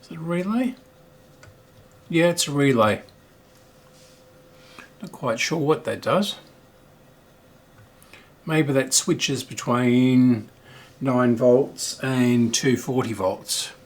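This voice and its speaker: Slow-talking middle-aged man musing over repairs. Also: mid-range voice. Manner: hesitant thinking aloud, musing. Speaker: electronics repair hobbyist and video host. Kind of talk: casual repair commentary.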